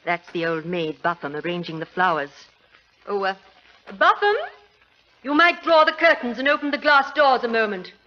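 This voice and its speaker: A woman's voice: fairly high pitch, lively intonation, moderate pace, natural voice. An actress playing a frank, wry, middle-aged titled lady engaged to be married, in 1940s radio drama style. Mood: brisk, gracious. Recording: noisy, muffled 1940s radio recording.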